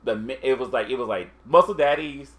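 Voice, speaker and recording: A man of middle pitch talks casually and haltingly, often pausing to search for words. His delivery is loose and unhurried in tone. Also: a bit bright. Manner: hesitant, lots of fillers and restarts. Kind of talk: casual chat.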